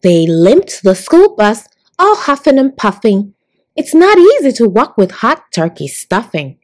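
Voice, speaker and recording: Animated woman reading to children, slow-paced. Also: on the high side. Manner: deliberate, animated, addressing young listeners. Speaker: storyteller reading children's books aloud. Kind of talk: expressive picture-book read-aloud for children.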